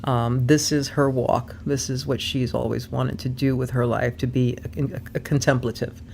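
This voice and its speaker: A woman's voice: a bass voice, little pitch movement, moderate pace, creaky, a little mellow, a little hiss or hum. A woman guest, a personal development coach, talking casually with lots of ums and easy laughter. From born in Vermont, raised abroad. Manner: chatty, frequent ums, laughs easily. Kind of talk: casual conversation.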